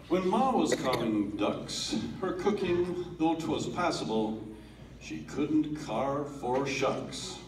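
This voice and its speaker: Man reciting a poem slowly, from afar. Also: mid-range voice, background noise. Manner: slow, theatrical delivery with lively swells and hushed drops. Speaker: family member reading a poem aloud. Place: family holiday gathering in a room. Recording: roomy, distant home video.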